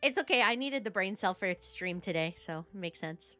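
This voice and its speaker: A woman's voice: medium pitch, animated, brisk, sharp tone. A woman giving casual, chatty live commentary on a game playthrough in a playful, giggly manner. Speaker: game streamer.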